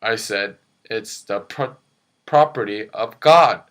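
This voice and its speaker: Someone playing a stern, slow guardian angel puppet. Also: mid-range voice, a bit bright. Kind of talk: amateur puppet show acting.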